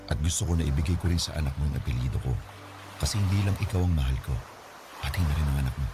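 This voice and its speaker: A man's voice: extremely low pitch, very fast, over music. A Filipino man acting a devoted, earnest and playful fiancé in a radio drama. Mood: earnest, pleading.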